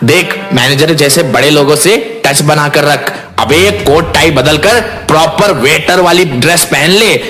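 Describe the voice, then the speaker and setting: Guy doing brash teasing young buddy, bright voice, music behind, cartoon. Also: a little high, measured pace, hardly any pauses. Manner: brash, slangy, familiar. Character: cheeky, teasing young man down on his luck. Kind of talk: animated moral story acting.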